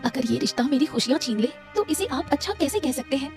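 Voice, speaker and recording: A defiant young bride-to-be voiced by a woman speaks high and fast. She is hurt and indignant, pressing each protest in cartoon moral-story style over music. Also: expressive, a little mellow. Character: distressed, defiant young bride-to-be pleading with her father. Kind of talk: Hindi cartoon story dialogue.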